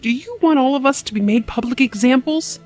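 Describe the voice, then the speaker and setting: A woman's voice: high voice, fairly quick, a little mellow, soft background music, close to an everyday voice. An actress playing a stern, coldly resolute wife and mother in an audio drama, firm and commanding.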